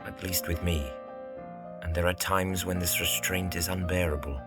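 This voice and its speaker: A deep-voiced young Victorian gentleman architect, acted by a man in an audio drama. He is earnest and anxious, hopelessly in love, with music playing clearly behind him. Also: steady tone, moderate pace, close to an everyday voice. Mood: solemn, pleading.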